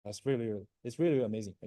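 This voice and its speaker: A man in his forties, a native Chinese speaker, giving a university machine learning lecture in a halting way, full of fillers and a constant "right?", often clearing his throat. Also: mid-range voice, dark tone, no echo. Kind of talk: classroom lecture.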